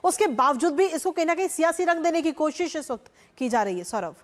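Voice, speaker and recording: A woman's voice: high voice, animated, fast talker. A woman presenting television news as an anchor, emphatic and repeating her key phrases. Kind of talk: live Hindi news presenting.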